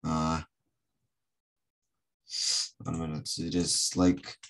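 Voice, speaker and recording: Slow, low-pitched man teaching. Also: flat delivery. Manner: halting, with long hesitations. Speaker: English teacher. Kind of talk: online group lesson, asking pupils questions. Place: online video call.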